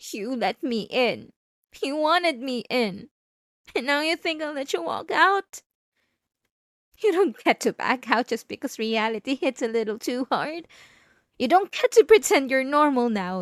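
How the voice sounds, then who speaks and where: A woman's voice: a little high, dry recording, clean studio sound. A woman playing a possessive, obsessive wife in an intimate, theatrical ASMR roleplay monologue. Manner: intimate, theatrical, drawn-out emphatic phrases. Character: possessive, obsessive yandere wife confronting her spouse.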